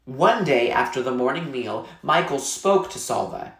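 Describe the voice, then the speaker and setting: A man's voice: medium pitch, animated, a little slow, gravelly, a bit bright. Man reading a story aloud, echoey room. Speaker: reader reading a novel aloud. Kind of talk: scripted narration, read-aloud storytelling.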